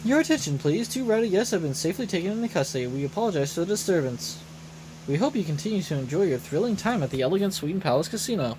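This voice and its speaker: A man's voice: sits high, animated, quick pace, noisy background. A man voicing a polite, formal casino announcer in a video game, over music. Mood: courteous. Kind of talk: announcement read from game dialogue. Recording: loud game music behind the voice.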